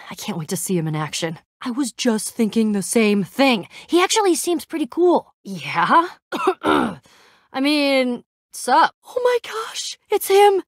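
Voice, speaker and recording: A woman voicing a brash, excitable young female adventurer in a video game, slangy and a bit awkward as she tries to sound cool. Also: sits high, dry recording, studio quality. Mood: starstruck. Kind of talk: animated game dialogue acting.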